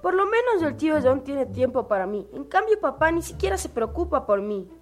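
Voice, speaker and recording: A woman's voice: high voice, big pitch swings, a little fast, soft background music. A woman voicing a young boy who feels ignored by his father, eager and then hurt. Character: young boy, lonely and unsure of himself.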